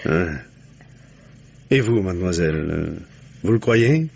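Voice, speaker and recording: A muffled vintage radio play recording carries a shrewd police commissioner. His voice is lowish and rough-edged, and he questions patiently, with fatherly, conversational prodding. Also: animated, slow, a little mellow, full character voice. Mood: probing, unhurried. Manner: probing, paternal, conversational with muttered prompts. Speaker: actor in a radio mystery play. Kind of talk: 1950s French radio drama acting.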